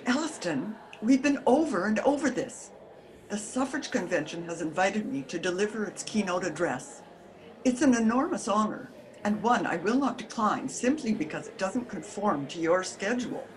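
An older actress playing a determined, exasperated suffragist in a radio mystery. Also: female voice, medium pitch, animated, moderate pace, a little hiss or hum, close to an everyday voice, poor audio. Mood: firm exasperation. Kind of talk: old-time radio play acting. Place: home room, video call.

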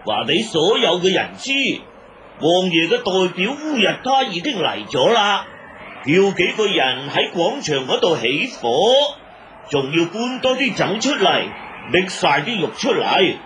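Swinging-voiced, hearty old Mongolian elder. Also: male voice, medium pitch, big pitch swings, a little slow, fairly bright tone. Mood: rousing. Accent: Hong Kong Cantonese. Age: older. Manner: chuckling, hearty. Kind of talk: Cantonese radio drama acting. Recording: radio rebroadcast with noisy ambience.

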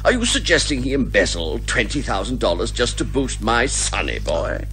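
Flustered sidekick, wildly animated. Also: male voice, medium pitch, moderate pace, soft background music. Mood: incredulous. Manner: incredulous, questioning. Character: fussy, sceptical male sidekick, easily flustered. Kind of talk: 1980s radio comedy detective drama acting.

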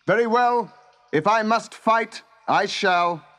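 Man acting a noble king, high-pitched and declamatory. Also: sing-song, brisk. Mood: resolute. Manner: declamatory, raised, earnest. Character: noble, brave male king of legend, earnest knight.

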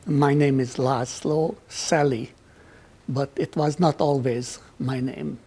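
A man's voice: medium pitch, steady tone, slow, a little mellow, slightly rough. A man in his eighties from Hungary, recounting childhood memories in an interview. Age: 80s. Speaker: Holocaust survivor interviewee. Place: quiet indoor library. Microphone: lapel.

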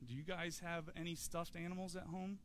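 A man's voice: a little high, level tone, brisk, a bit bright. A man in his thirties giving a children's sermon in a church sanctuary, talking in a friendly way, asking questions and restarting often. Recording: church hall reverb, faint room noise.

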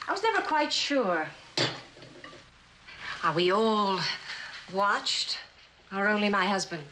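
Poised probing wife, medium pitch, bright tone, uneasy, polite, vintage drama recording. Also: female voice, sing-song, takes it slowly, heavily acted, low-quality recording. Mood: politely probing. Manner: polite, pointed questioning. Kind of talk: mid-century American suspense drama acting. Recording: old broadcast with faint noise.

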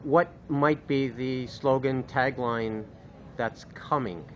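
A man's voice: medium pitch, takes it slowly, highs cut off, a little hiss or hum. A man in the audience asking a panel a question, musing his way toward it.

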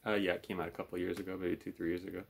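Low, creaky, quick-talking man. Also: little pitch movement. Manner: dry, teasing straight man. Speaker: puppet show host. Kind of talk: casual banter to camera. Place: small room at home, indoors.

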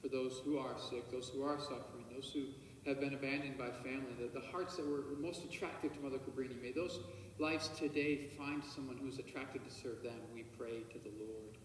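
A male priest saying Mass at the altar in a measured, solemn tone, heard from a distant camera in a large church. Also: medium pitch, steady tone. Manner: measured, solemn liturgical recitation, quiet murmured private prayers. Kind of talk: celebrating Mass, reciting liturgical prayers. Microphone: far camera. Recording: echoey church from far off, faint hiss.

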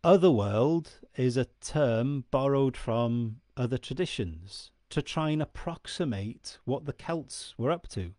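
A man's voice: medium pitch, expressive, measured pace, hardly any pauses, mellow, dry recording, clean studio sound. A man in his forties whose first language is Welsh, explaining Celtic myth to camera in an earnest way and often checking in with the listener. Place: dark room indoors. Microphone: studio mic on stand close in front.

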